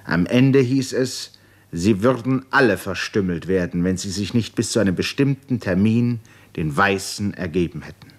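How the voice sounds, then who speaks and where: A man narrating a literary radio drama in a grave, measured manner, with short, deliberate phrases. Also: fairly low pitch.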